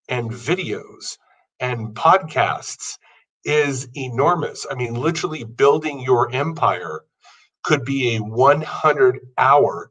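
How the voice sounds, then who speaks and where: A man's voice: mid-range voice, bright, forceful tone, husky. Earnest man in his fifties, mic at a distance. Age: 50s. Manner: earnest, building through lists. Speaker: podcast host. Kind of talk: motivational monologue.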